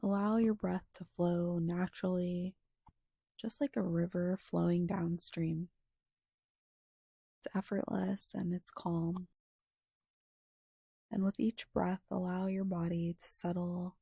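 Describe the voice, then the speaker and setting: A woman leads a guided meditation in a soft, husky, breathy voice that often slips toward a whisper. She speaks very slowly and evenly, with long pauses between short, soothing phrases. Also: lowish voice, flat delivery, dry recording, studio quality.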